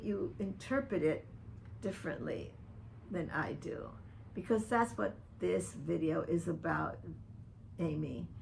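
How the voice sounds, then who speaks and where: Slow, gravelly older woman speaking pointedly. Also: lowish voice, a little hiss or hum, rough recording. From Dominican Republic. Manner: earnest, pointed, speaks directly to the listener by name. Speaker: vlogger addressing a public figure. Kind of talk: personal open-letter video monologue.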